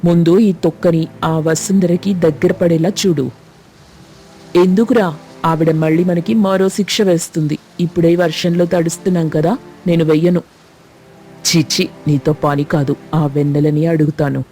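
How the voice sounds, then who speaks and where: A woman's voice: deep voice, steady tone, normal speed, mellow, faint music behind. A woman voicing mischievous young schoolboys who bicker and plot a prank. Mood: scheming. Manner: conspiratorial, bickering. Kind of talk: cartoon voice acting.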